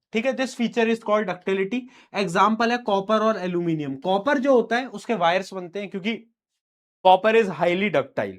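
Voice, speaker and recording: Young teacher with a sore throat. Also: male voice, sits high. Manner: informal, teasing, addresses students as beta. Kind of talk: live online lecture.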